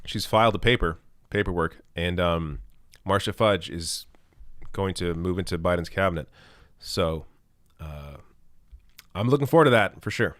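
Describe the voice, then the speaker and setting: A man's voice: deep voice, animated, moderate pace, long pauses, fairly bright tone, no echo, clean studio sound. A man hosting a live stream and reading out viewer questions, thinking aloud with ums and restarts as his voice gives out. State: losing his voice, clearing his throat. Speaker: live stream host answering super chats.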